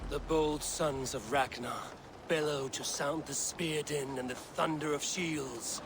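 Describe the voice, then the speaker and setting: An actor playing a bold, wary, poetic Viking warrior in a video game cutscene, speaking directly. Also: male voice, fairly high pitch, fairly even intonation, slow pace, background noise. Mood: bold resolve. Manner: direct, declaiming.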